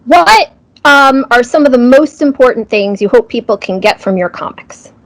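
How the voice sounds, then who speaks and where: A woman's voice: fairly high pitch, lively intonation, moderate pace, fairly bright tone. A woman interviewer putting questions to a guest in a casual, conversational style. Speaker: interviewer, host.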